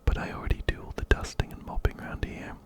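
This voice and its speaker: A man narrating a video game in a slow, breathy ASMR whisper, very close to a binaural mic, softly repeating words. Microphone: close-up binaural microphone, ear to ear.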